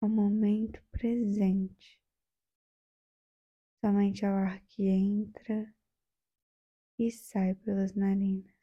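A woman with a Brazilian accent leading a guided meditation in a soft, soothing, gentle way, leaving long pauses. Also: medium pitch, flat delivery, slightly rough, highs cut off.

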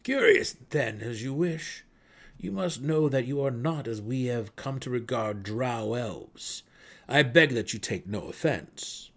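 A man voicing an old deep gnome warden in a fantasy audiobook, hearty and melodic with a growl, speaking in formal, old-fashioned phrasing. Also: measured pace, fairly bright tone. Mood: earnest, courteous. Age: older. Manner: formal, archaic inverted phrasing.

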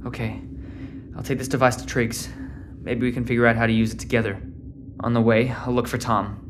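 A man's voice: mid-range voice, flat delivery, brisk, noisy background, lightly acted. A man playing an anxious, defensive teenage boy stranded and searching, in an audio fiction drama. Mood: worried, searching. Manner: hesitant, stammering when challenged. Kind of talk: audio fiction drama acting with spoken thoughts. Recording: voice over prominent music bed. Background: dramatic music.

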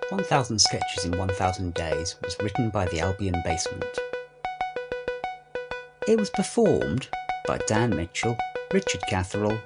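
A man reading the closing credits of a comedy podcast in a measured way. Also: sits low, very animated, over loud music. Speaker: podcast announcer.

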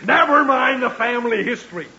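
An American actor playing a brusque, impatient and suspicious police officer in 1940s radio comedy style. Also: male voice, very high voice, lively intonation, a little fast, crowd noise. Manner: brusque, commanding. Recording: dull 1940s radio broadcast.

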